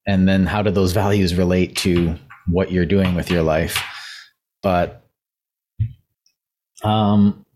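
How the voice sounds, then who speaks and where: A man's voice: low voice, steady tone, takes it slowly, long pauses, darkish tone. A young man hosting a casual podcast discussion who is losing his voice, rambling and thinking aloud with many fillers. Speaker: podcast co-host.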